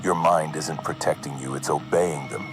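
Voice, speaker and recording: A man narrating an analog horror video as a cryptic, foreboding figure, slowly and deliberately in short, measured phrases. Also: a bass voice, fairly even intonation. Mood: ominous, cryptic. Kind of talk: slow scripted horror narration addressed to the listener. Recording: music under the voice.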